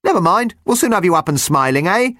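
A man voicing a relentlessly cheerful little male creature with a shrill, chirpy voice. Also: big pitch swings, fast talker, bright, forceful tone, no room sound, studio quality, heavily acted.

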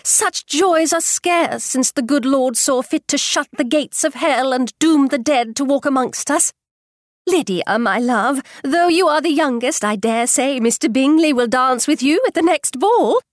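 A woman voicing a shrill, gushing mother. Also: lively intonation, unhurried, no echo, studio quality. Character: fretful excitable middle-aged mother.

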